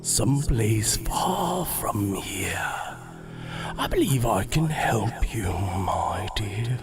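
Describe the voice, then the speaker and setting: An actor playing a sinister, seductive Dark Lord spirit in an audio drama, speaking in a breathy, menacing, drawn-out whisper. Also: male voice, on the low side, animated, music in the background. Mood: sinister, knowing.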